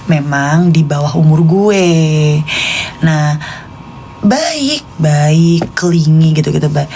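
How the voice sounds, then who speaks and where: A woman's voice: sits low, a little mellow, slight background noise. A woman in her late twenties with a Jakartan Indonesian accent, chatting casually and confidingly to live stream viewers in informal slang. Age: late 20s.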